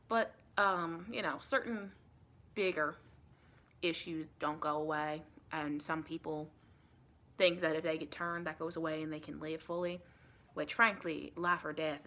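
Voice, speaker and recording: A soft-spoken woman playing a chatty, caring female vampire intake interviewer in an improvised ASMR roleplay, rambling and reassuring with pet names. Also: low voice. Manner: rambling, reassuring, endearments like honey and sweetie.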